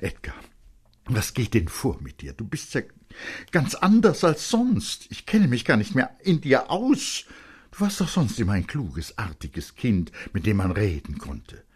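Man playing a stern scolding mother, raised pitch. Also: huge pitch swings, a little slow, husky, full character voice. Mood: reproachful. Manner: reproachful, commanding. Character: stern, flustered mother scolding her young son. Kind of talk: dramatic literary audiobook acting.

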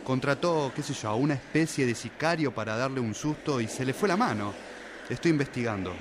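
A man's voice: mid-range voice, expressive, brisk, lightly acted. A man with an Argentine accent playing a grieving, suspicious son in a radio drama, speaking insistently as he thinks aloud. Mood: suspicious, theorizing. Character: grieving, suspicious son obsessively investigating his father's death. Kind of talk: Spanish-language radio drama acting. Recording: clear street and traffic noise.

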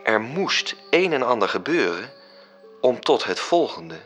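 An actor playing a coolly self-satisfied angel who recounts his schemes in a measured, precise radio drama narration. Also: mid-range voice, big pitch swings, a bit bright, faint music behind. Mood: cool, matter-of-fact.

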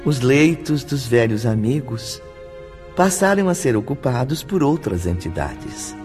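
A Brazilian man narrates a scripted radio drama at a slow, measured pace. His diction is formal and solemn. Soft music plays behind him. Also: mid-range voice, sing-song.

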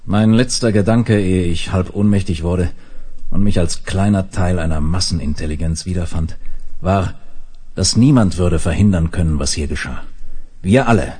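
A man playing a captive who narrates his enslavement gravely in a 1970s radio play. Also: sits low, moderate pace, dark tone. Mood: grim, resigned.

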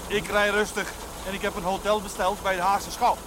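Blunt, brisk car tinkerer male actor, 1970s TV drama, very high voice over engine noise. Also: level tone, close to an everyday voice. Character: blunt, brisk man who tinkers with cars. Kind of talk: naturalistic 1970s television drama acting. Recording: noisy soundtrack with car engine.

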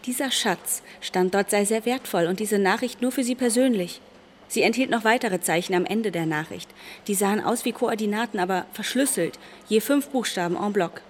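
A granddaughter, played by a woman, lays out a family mystery in radio drama style. Her pitch is middling, with faint background sound. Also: normal speed, a little hiss or hum, close to an everyday voice. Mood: intent, explaining. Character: granddaughter uncovering her grandmother's wartime secret.

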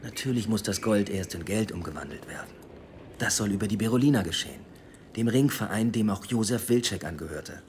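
An actor playing a guarded, ambitious police inspector in 1920s Berlin, measured and businesslike, in a naturalistic radio drama style. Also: male voice, lowish voice, animated, a little mellow, a little hiss or hum, lightly acted. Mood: guarded, reporting.

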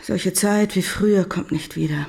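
An actress playing a middle-aged, worried mother of a returned soldier in a radio drama, plaintive and gently reproachful. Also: fairly low pitch, normal speed, vocal fry. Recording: faint music behind the voice. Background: faint music.

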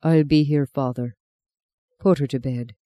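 A man's voice: mid-range voice, steady tone, unhurried, warm tone, dry recording, clean studio sound, natural voice. A man voicing a proud, hot-tempered middle-aged father full of remorse, with strained emotion, in an audiobook. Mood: subdued.

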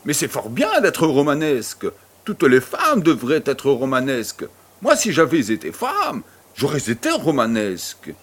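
Suave, animated baron, played by a man. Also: medium pitch, a little slow, slightly rough, heavily acted. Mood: amused, courteous. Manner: polite, flourishing, playful. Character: courteous, worldly Parisian baron, suave and amused. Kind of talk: French audio drama dialogue.

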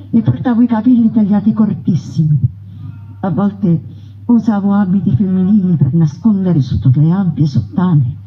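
A woman voicing a proud, defiant young woman bandit in a slow, solemn theatrical monologue. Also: lowish voice, breathy edge. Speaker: narrating voice in a stage piece. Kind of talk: theatrical first-person monologue. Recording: dull radio broadcast sound.

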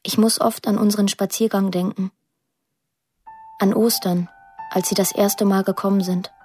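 An actress playing a young woman who narrates a radio drama in a plain, reflective, measured way. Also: mid-range voice, fairly even intonation, a little mellow, soft background music, natural voice. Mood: reflective, confiding. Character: pensive young woman narrating her estranged family.

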